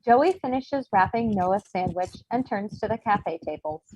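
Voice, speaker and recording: A woman's voice: medium pitch, expressive, normal speed, a little mellow, slightly rough, dry recording. Female narrator for comedy script directions; dry, slightly dull recording.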